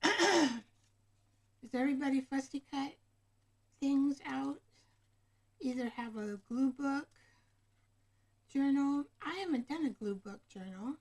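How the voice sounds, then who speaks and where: A woman's voice: high voice, slow, long pauses. A woman hosting a casual craft livestream, rambling along and chatting directly with her viewers. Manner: rambling, chatty, often addressing viewers by name. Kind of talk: casual live chat with viewers. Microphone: camera microphone.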